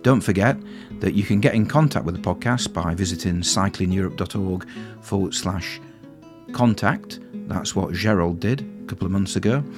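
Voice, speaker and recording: A man hosting a podcast and interviewing a guest in a relaxed, plain-spoken way. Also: fairly low pitch. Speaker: podcast host.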